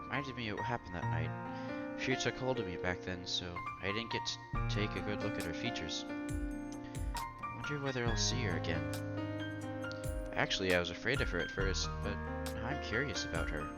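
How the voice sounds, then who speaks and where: A man's voice: on the low side, lively intonation, normal speed, over loud music. A man reading a visual novel aloud as a streamer, with casual commentary along the way.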